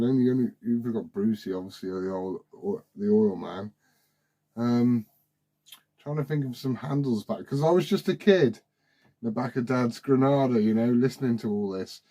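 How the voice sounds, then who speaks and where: A man's voice: mid-range voice, dark tone. A forty-year-old man, chatting casually and familiarly over CB radio. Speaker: CB radio operator asking questions. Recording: CB radio transmission.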